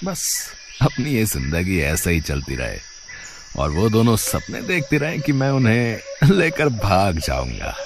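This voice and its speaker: A man's voice: fairly low pitch, big pitch swings, measured pace, exaggerated voice. A man playing a smug, scheming lover in a story, gloating with a swagger over his cheating. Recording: clearly noisy background.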